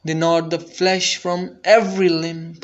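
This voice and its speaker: Slow, theatrical man reciting narrative verse. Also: fairly high pitch, flat delivery, dull audio. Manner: theatrical, deliberate, swelling from hushed to intense. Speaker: poetry reader. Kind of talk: dramatic narrative poetry recital.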